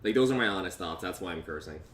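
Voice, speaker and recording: A man's voice: lively intonation, quick pace. A man chatting casually as a guest, slangy, blunt and sweary. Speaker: host's roommate, guest. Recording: roomy echo, rumbling background noise.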